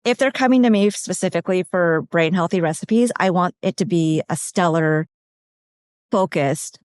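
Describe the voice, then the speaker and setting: A woman with a slightly low voice talks through nutrition as a podcast guest. She explains things in a practical, step-by-step way. Her voice is recorded close and dry, with no room sound. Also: normal speed, a little mellow, no echo. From California, USA. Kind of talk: conversational expert explanation.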